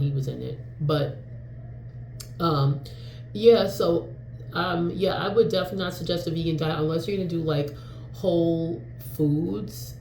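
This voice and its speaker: A woman's voice: low voice, vocal fry, mellow, reverberant, slight background noise, low-quality recording. A woman in her forties from New York, casually vlogging in a rambling, frank way with lots of fillers and the odd swear word. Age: 40s. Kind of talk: casual talking-to-camera vlog.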